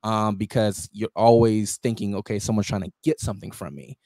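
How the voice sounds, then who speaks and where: A man's voice: on the low side, level tone, fairly quick, a little mellow. A man with an American accent hosting a podcast in casual conversation, agreeable and reflective as he responds. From United States. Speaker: podcast host.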